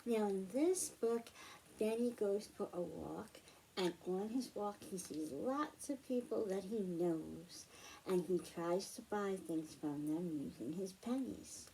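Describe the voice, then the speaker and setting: A woman's voice: medium pitch, takes it slowly, gravelly, mellow, a little hiss or hum, rough recording. A woman hosting a children's library storytime, reading aloud and explaining things in simple phrases for young listeners.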